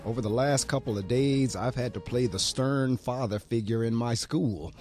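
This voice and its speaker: A man's voice: medium pitch, moderate pace, a little mellow, soft background music. A man hosting a morning radio show and reading the weather, with a slightly froggy voice, in an upbeat talkative way. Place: small college radio studio. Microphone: studio mic.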